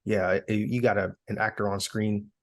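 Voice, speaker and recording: A man's voice: fairly low pitch, flat delivery, moderate pace. A man chatting casually as a co-host on a pop culture podcast.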